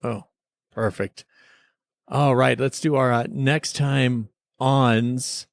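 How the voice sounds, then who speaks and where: A man's voice: medium pitch, steady tone, takes it slowly, creaky, dry recording, clean studio sound. A man hosting a podcast in a casual, joking review-chat style. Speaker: podcast host.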